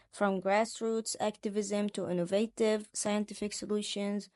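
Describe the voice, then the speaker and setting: A woman in her twenties from Palestine, co-hosting a podcast and reading a script in an earnest, deliberate way. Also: mid-range voice, darkish tone, no room sound, studio quality. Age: 20s. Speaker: podcast co-host.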